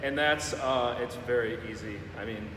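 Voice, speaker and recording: A man's voice: medium pitch, moderate pace, a little hiss or hum. A young man, a strength coach, talking loosely and joking in a casual interview. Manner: relaxed, joking, explains in long loose runs. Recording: echoey room, mic far off.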